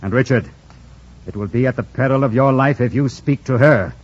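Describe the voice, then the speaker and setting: An actor playing a troubled, commanding gentleman in a mid-century radio drama, with intense, theatrical, formal diction. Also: medium pitch, a little fast, natural voice. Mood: hushed, commanding. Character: brooding, commanding, troubled gentleman master of a house. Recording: muffled, noisy old radio broadcast.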